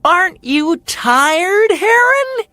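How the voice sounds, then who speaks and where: A storyteller voicing a small, boastful, playful male hummingbird in a children's fairy tale, with an excitable, teasing, sing-song delivery. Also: very high voice, very slow, no room sound, voice pushed up, exaggerated voice.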